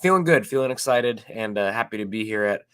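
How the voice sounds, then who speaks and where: Male co-host with a cold, nasal and stuffy, low voice, quick talker, dry sound. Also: expressive, a bit bright, smooth, no room sound. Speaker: podcast co-host. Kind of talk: casual sports podcast chat.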